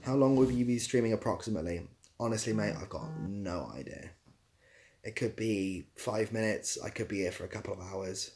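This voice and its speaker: A man's voice: fairly low pitch, normal speed, darkish tone. A man chatting casually on a livestream, reading out questions and answering them in a rambling, self-deprecating way. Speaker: live streamer answering chat questions.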